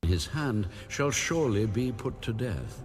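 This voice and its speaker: A man's voice: on the low side, lively intonation, a little slow. A man narrating scripture from a script in a solemn, formal reading style. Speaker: audio Bible narrator.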